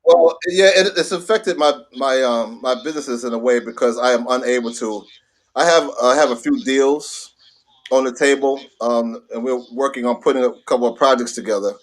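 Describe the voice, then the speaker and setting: A man's voice: medium pitch, normal speed. A middle-aged American man chatting casually as an interview guest, emphatic and slangy, often repeating himself to drive a point home. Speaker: guest, veteran hip hop DJ and host. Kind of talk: casual chat.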